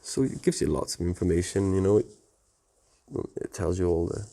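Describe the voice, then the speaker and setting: A man's voice: low voice, steady tone, normal speed, creaky, mellow. A man giving a casual technical tutorial in an informal, plain-spoken, conversational way. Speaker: technical presenter explaining network setup.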